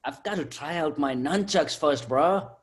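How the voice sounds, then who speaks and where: A man plays an easygoing sidekick type in a table read. The voice sits a bit high for a man, with a loose, bro-ish delivery. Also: unhurried, husky. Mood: eager. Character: Javi, laid-back male buddy, eager for action.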